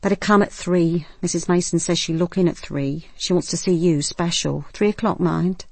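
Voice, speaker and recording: A woman's voice: fairly low pitch, normal speed, vocal fry, darkish tone, no room sound. A woman voicing a crotchety, demanding old British grandmother, curt and grumbling, in a radio drama. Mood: cross, demanding.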